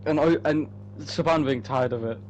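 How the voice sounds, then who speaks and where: A man's voice: fairly high pitch, normal speed, darkish tone, lo-fi sound, a little hiss or hum. A man chatting casually as a writer about his own story, emphatic and rambling, with some swearing. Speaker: writer of the story being read. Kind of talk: casual chat.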